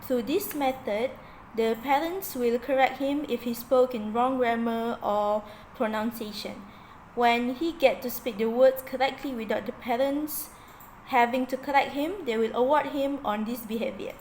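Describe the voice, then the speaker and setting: A young woman reading out a prepared report in a steady read-aloud way as a student presenter. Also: a little high, normal speed, slight background noise, low-quality recording.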